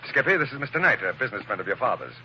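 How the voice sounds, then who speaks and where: A man's voice: mid-range voice, very animated, rapid-fire, sharp tone. A jovial, nervous rich father. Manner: hearty, with nervous laughter. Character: wealthy middle-aged father, jovial but nervous. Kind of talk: 1940s radio acting. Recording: dull, noisy old radio recording.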